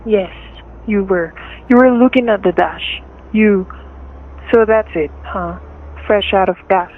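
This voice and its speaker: A woman's voice: mid-range voice, measured pace, slight background noise, lightly acted. A woman playing a menacing, suspicious gunman in a radio thriller, curt and commanding, on a narrow-band recording. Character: menacing, suspicious male gunman holding a couple hostage.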